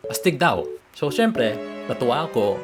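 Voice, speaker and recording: A young British man, a native English speaker who mixes in Tagalog, explaining a word in a jokey, animated vlog. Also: medium pitch, normal speed. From United Kingdom. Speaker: advice vlogger. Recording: clear music bed behind voice.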